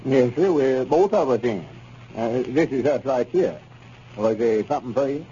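An actor playing a self-important, impatient country storekeeper with a rural Arkansas accent and a pompous, folksy way of scolding, in a 1930s radio comedy. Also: male voice, medium pitch, animated, moderate pace, warm tone, lightly acted. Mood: eager, self-important. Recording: muffled, noisy 1930s radio recording.

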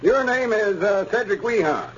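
A man's voice: sits high, lively intonation, normal speed. An actor playing an earnest male lawyer questioning witnesses in a 1930s radio comedy. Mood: earnest, formal. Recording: noisy muffled 1930s radio recording.

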